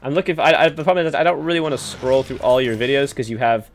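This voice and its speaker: A man hosting a casual gaming chat, joking and teasing his co-player, laughing often, with a deep voice. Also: racing, a little hiss or hum. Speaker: host.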